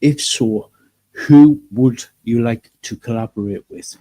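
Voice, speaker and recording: A man with a mellow, dark voice hosts an interview and reads out viewer questions. He pauses often with uhs between phrases. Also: medium pitch, rough recording. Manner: hesitant, many uhs. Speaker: interviewer host.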